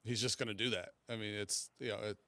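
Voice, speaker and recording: A man talks casually as a podcast panelist. His voice is smooth and clear, recorded dry with no room sound. His phrasing is halting, often doubling back on itself. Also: lowish voice, steady tone, sharp tone, dry recording. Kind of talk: casual chat.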